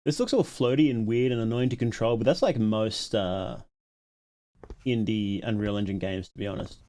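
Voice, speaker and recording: A man's voice: lowish voice, normal speed, dark tone. A man casually commentating on a livestream, musing aloud and reading out viewer comments as he reacts. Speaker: livestream host reacting to a horror series.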